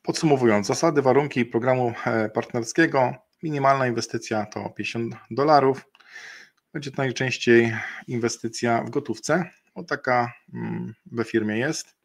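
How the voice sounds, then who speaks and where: A middle-aged man presenting a webinar over a video call, explaining a bonus program in a halting way with frequent fillers and restarts. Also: medium pitch, creaky. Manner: halting, many fillers and restarts, slow deliberate pace in places. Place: indoor room, video call.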